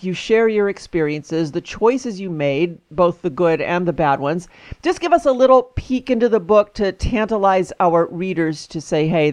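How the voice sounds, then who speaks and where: A woman's voice: fairly low pitch, darkish tone, no room sound. A woman hosting an interview, talking enthusiastically and laughing often as she introduces and questions her guest. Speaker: podcast host. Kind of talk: interview conversation.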